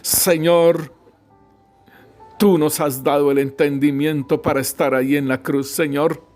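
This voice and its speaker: A middle-aged man praying aloud with fervor, his voice sitting a little high. He speaks in short bursts, breaking each phrase with a repeated invocation to God. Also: steady tone, unhurried, darkish tone, soft background music. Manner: fervent, constantly repeating "Señor" and "Rey Santo" between phrases. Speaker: prayer leader. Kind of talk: spoken congregational prayer.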